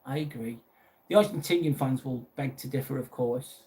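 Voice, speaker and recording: A man's voice: mid-range voice, normal speed, darkish tone, poor audio. Lancashire man chatting on a live stream. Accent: Lancashire English. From northwest England. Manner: chatty, reads out viewer messages and answers them. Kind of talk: casual live chat reading comments.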